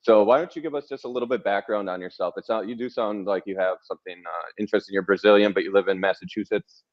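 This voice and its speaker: A man with a very low voice interviews casually, wandering through his questions with hesitant, modest asides. The sound is dull and lo-fi, like an online call. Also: fast talker. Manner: hesitant, rambling, self-deprecating.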